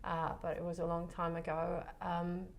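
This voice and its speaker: A woman speaks in a fairly low, level voice that barely rises or falls. She talks softly and hesitantly, pausing often and filling gaps with small sounds, as a guest in a relaxed interview. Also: slight background noise. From Czech Republic. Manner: soft, hesitant, many pauses and fillers. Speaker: photographer guest.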